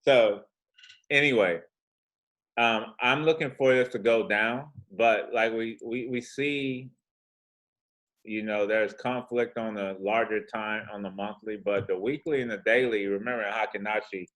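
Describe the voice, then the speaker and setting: Very deep-voiced laid-back man. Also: steady tone, measured pace, a bit bright, low-quality recording. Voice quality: soothing voice. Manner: laid-back, slangy, rambling asides. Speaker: forex trading host. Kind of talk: casual chart walkthrough.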